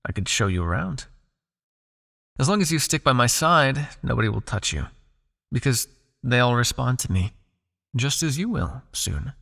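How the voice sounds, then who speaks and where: A possessive male vampire, played by a man, coaxes and commands his captive in intimate hypnosis roleplay. His soft, teasing voice has a clear gravelly fry to it. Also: mid-range voice, sing-song, normal speed, pauses often, heavily acted. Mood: playful, coaxing.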